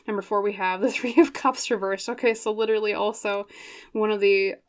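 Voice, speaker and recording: A woman's voice: mid-range voice, fairly even intonation, clear, resonant tone. A young woman casually talking through a tarot reading, chatty and full of fillers, her voice getting a bit scratchy. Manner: chatty, thinks aloud with many fillers and quick laughs. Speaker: tarot reader.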